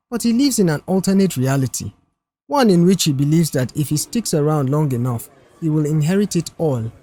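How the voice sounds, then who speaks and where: A man's voice: on the high side, a little mellow. A man voicing a weary, chatty middle-aged male mechanic and proud family man in an audiobook, talking earnestly. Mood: resigned, disapproving. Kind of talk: audiobook character dialogue.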